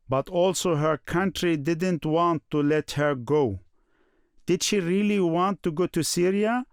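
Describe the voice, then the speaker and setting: An actor playing a Syrian man looking back on his student years, narrating in a measured, reflective way. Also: mid-range voice, no echo, clean studio sound. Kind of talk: scripted first-person documentary narration.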